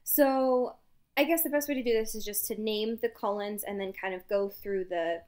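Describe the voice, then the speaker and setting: A woman co-hosting a podcast in casual chat, giggling often and trailing off softly at the ends of sentences. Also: medium pitch, moderate pace. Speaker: podcast co-host.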